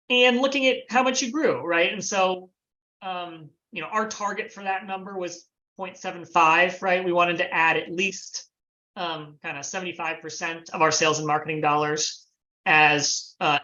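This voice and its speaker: A man's voice: high-pitched, pushed and bright. Man explaining finance on a dull call. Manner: measured, explanatory, frequent "um" and "sort of". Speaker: former finance planning head, guest. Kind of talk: explaining a business model on a video call. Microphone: video call.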